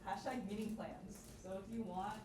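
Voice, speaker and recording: A woman's voice: mid-range voice, normal speed. A young woman speaking casually, organising and addressing a club group. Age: young adult. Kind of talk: casual chat and announcements. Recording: distant, echoey, noisy room. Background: room noise.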